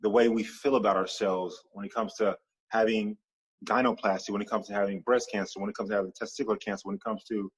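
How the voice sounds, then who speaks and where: A man speaking as a podcast guest in a casual interview, animated and stacking long repeated lists as he talks. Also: lowish voice, fast, husky, poor audio.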